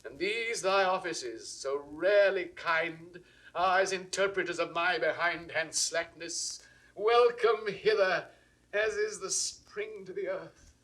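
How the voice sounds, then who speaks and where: An aging British king in a Shakespearean audio drama. His voice sits a little high and moves very slowly through the verse. He is grandly formal, courtly and full of remorse. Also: fairly bright tone, poor audio. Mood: remorseful, courtly. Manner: measured, grandly declamatory, formal. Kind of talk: classical Shakespearean stage acting, verse declamation.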